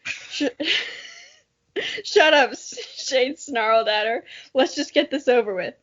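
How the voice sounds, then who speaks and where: Young woman, high voice, giggly, self-mocking, casual dramatic reading, dull lo-fi recording. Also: big pitch swings, takes it slowly, a bit bright. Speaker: podcast co-host. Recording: dull lo-fi audio.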